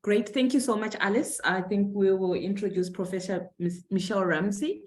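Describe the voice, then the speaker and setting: A woman's voice: mid-range voice, moderate pace, a little mellow. A woman hosting an online seminar over a video call, introducing speakers briefly and in an upbeat way. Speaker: seminar host.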